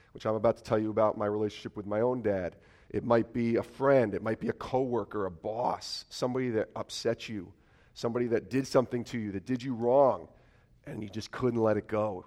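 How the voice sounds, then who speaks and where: A man in his forties preaches to a church congregation, his low voice raspy and rough from a lingering cold. He talks in a chatty, joking way, teasing the crowd before settling into his message. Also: darkish tone. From Albany, New York. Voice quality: raspy, deeper than usual. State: hoarse from a cold. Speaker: guest preacher. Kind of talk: church sermon. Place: church sanctuary.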